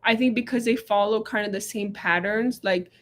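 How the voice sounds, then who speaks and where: A woman's voice: medium pitch, moderate pace, a bit bright. A young woman co-hosting a casual livestream, chatting with many fillers and reading viewer comments aloud. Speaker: livestream co-host. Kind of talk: casual live chat.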